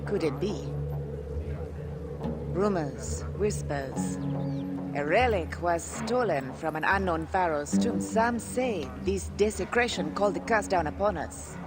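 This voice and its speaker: A wary, high-voiced informant. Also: male voice, expressive, loud music behind the voice. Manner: measured, secretive briefing. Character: cautious, worldly male informant.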